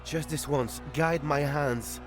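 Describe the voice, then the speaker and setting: A man voicing a nervous young male archer who mutters a hushed, pleading prayer to himself. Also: on the high side, fairly even intonation, slow, music in the background, voice pushed up.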